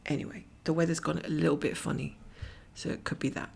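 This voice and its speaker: A middle-aged woman from Scotland chatting casually while she cross-stitches at home, rambling from topic to topic and often dropping to a whisper, her voice croaky and her nose blocked. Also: sits low, flat delivery, fast talker, darkish tone, a little hiss or hum. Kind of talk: casual stitch-along chat. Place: at home, camera over her stitching hoop.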